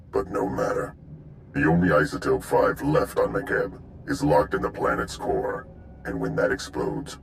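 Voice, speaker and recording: A man voicing a menacing Hutt crime lord and spymaster in a video game, slow, grandiose and smug, with a gravelly rumble. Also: heavily acted, low-quality recording. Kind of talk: video game villain acting.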